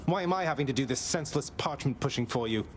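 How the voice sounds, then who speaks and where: A man's voice: mid-range voice, lively intonation, quick pace, a little hiss or hum, natural voice. A man voicing a sarcastic, defiant young prince in a video game. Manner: sarcastic, dry, exasperated. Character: defiant young male prince, sarcastic, weary of his father.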